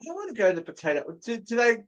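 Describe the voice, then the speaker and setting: A woman's voice: fairly high pitch, big pitch swings, racing, muffled recording. A woman co-hosting a casual livestream, joking around in a theatrical, sweary way. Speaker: co-host of a live show.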